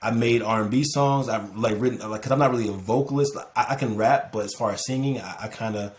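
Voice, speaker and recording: A man's voice: medium pitch, quick pace. A man in his thirties, a guest on a podcast, talking reflectively with many repeated words. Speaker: podcast guest, music producer. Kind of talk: casual interview chat.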